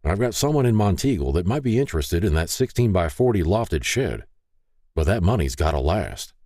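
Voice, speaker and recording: Man playing a grumbling, folksy older husband, animated. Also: low voice, moderate pace, no echo, studio quality. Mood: reluctant, firm. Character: gruff, grumbling older small-town husband, reluctantly kind.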